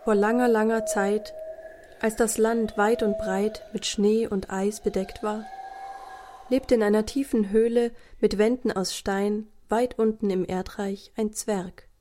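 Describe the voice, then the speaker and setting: A woman narrating a children's audio story in a measured way, speaking directly to young listeners. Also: mid-range voice, fairly even intonation, mellow, slight background noise, natural voice.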